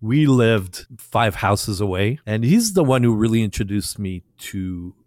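A middle-aged French Canadian man with a Québécois French accent, French his first language, telling stories in a relaxed way as a guest DJ. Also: fairly low pitch, a little slow, no echo, clean studio sound. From Quebec, Canada. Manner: relaxed storytelling with fillers. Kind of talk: casual interview chat.

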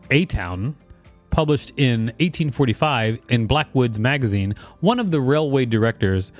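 A man reads an article aloud as a co-host, his pitch swinging widely. He slips into casual, joking asides, and soft music plays behind him.

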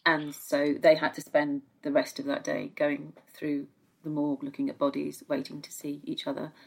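A woman's voice: deep voice, completely flat delivery, normal speed, vocal fry, low-quality recording. A middle-aged woman talking conversationally as a football pundit, often repeating herself as she searches for words. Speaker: football pundit on a discussion show. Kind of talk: conversational discussion and recollection.

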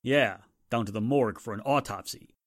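A man's voice: fairly low pitch, moderate pace, no room sound, clean studio sound, close to an everyday voice. A man acting a tough, bossy police inspector in a 1940s-style radio drama, curt and commanding. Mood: stern.

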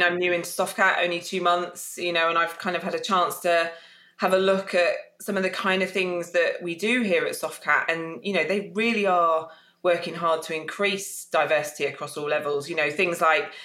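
A woman's voice: on the low side, sharp tone, roomy sound. A woman speaking as a panelist, hesitant and full of filler words as she gathers her thoughts. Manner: hesitant, lots of "you know" fillers. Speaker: panelist, tech company newcomer. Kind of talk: panel discussion.

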